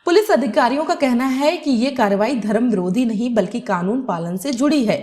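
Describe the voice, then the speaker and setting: Female news narrator, fairly high voice, scripted report delivery. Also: animated, fairly quick, a little mellow.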